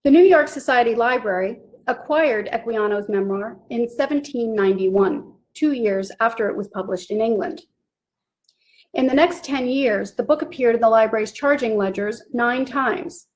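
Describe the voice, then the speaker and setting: A woman presents a literary history talk, reading from her script in a clear, even way. Her voice sits a little high. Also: normal speed, low-quality recording.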